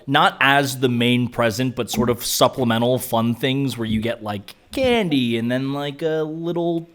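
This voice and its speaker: A man's voice: medium pitch, a little slow, fairly bright tone. A man co-hosting a podcast, chatting casually and teasing his friends in a conversational way. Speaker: podcast co-host. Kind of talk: casual banter.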